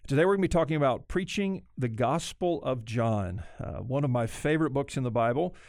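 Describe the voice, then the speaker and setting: A man hosting a podcast interview in a measured, formal way. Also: mid-range voice, expressive, darkish tone, dry recording, studio quality. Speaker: podcast host.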